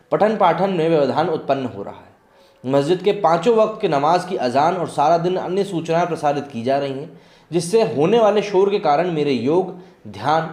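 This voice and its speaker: Higher-pitched man in an echoey room. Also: a little mellow. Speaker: host of a news commentary channel. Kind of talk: news report with personal commentary to viewers.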